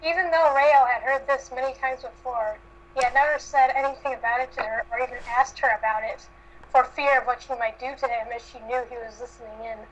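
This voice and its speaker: A woman's voice: high voice, steady tone, a little fast, dull audio, slight background noise. A woman narrating fan fiction aloud as an amateur reader.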